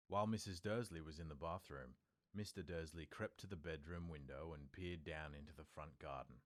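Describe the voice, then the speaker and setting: A man with a low-pitched voice narrates a story. He reads from a script in the manner of an audiobook narrator, telling the tale as prose.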